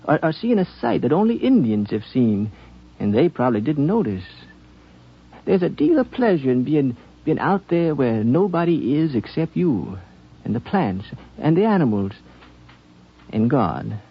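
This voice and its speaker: A gravelly, halting frontier dreamer. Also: male voice, fairly high pitch, very animated, heavily acted. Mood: wistful. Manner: halting, musing, folksy. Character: gentle wandering frontier apple planter, dreamy and earnest. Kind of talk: 1950s radio drama acting. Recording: dull 1950s radio broadcast.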